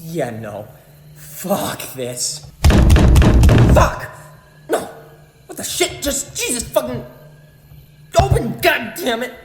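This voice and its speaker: A woman's voice: on the high side, wildly swooping pitch, slow pace, pauses often, music in the background, heavily acted. A woman playing a sweary, sarcastic, exasperated young character in an amateur radio play. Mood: panicked, furious. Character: foul-mouthed, exasperated young camper trapped in a stall. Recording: echoey hall-like space with faint noise.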